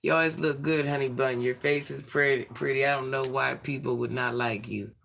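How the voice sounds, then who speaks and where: A man in his forties, hoarse from illness, chatting sassily with viewers on a livestream and reading out their comments. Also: mid-range voice, fairly even intonation, a little fast, a bit bright, slight background noise. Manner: sassy, chatty, addresses viewers by name. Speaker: livestream host.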